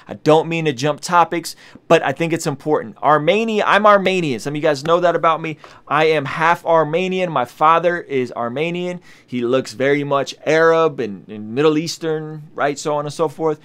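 A man's voice: fairly high pitch, smooth. A man in his thirties, from Azerbaijan and a native Russian speaker, talking earnestly to camera in a small studio and reading news aloud. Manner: earnest, animated, many restarts and fillers. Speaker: video host. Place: small indoor studio with colored lights.

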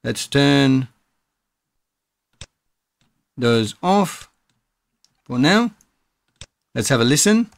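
A man's voice: mid-range voice, very animated, slow pace, gravelly, no echo. A man presenting a music production tutorial in a casual, explanatory, informal way. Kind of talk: casual software tutorial narration.

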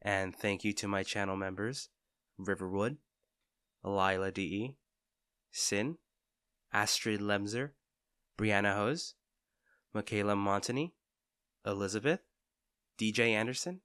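A man's voice: on the low side, a little slow, pauses often, a bit bright, clean tone, no room sound, clean studio sound. A man, a channel creator, reading out thank-you credits to supporters.

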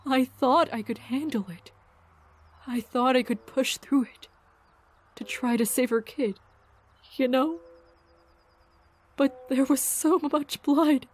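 A woman voicing a grief-worn, sardonic scientist in a fan audio drama, halting, wry and guarded. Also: high-pitched. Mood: pained confession.

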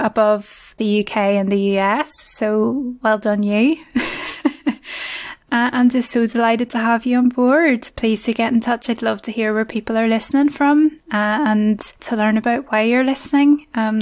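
A woman's voice: on the high side, a little mellow. Chatty woman hosting a podcast. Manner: chatty, laughs often. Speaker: podcast host. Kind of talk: casual podcast chat. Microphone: video call.

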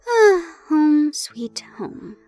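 Girl acting a playful evil fae lady, high voice, slow teasing drawl, audio drama. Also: sing-song, dark tone, no room sound, soft background music, exaggerated voice. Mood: amused, breezy. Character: playful, chaos-loving villainous fae woman.

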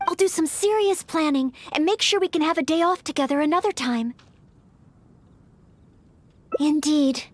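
Actress as an earnest, very high-voiced young maid knight. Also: female voice, moderate pace, pauses often, close to an everyday voice. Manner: polite, hesitant, apologetic. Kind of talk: anime-style video game dialogue.

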